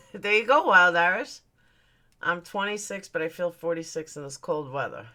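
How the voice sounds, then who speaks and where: A woman in her late forties hosts a casual livestream, chatting away as she reads out viewer comments and answers them bluntly. Her voice sits a little low but sounds bright and forceful, and she swears freely. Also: animated, moderate pace. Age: 40s. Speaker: livestream host.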